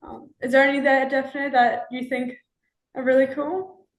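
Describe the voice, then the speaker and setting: A woman's voice: high-pitched, level tone, a little slow. Teenage girl in an echoey room. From Australia. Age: teens. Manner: hesitant, starts lines with "um". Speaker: student presenter.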